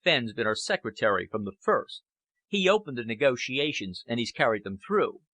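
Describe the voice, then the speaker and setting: Man playing blunt, sturdy little leader, bright voice. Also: medium pitch, expressive, moderate pace, no room sound. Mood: blunt, loyal. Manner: blunt, plain-spoken. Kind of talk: audiobook character acting.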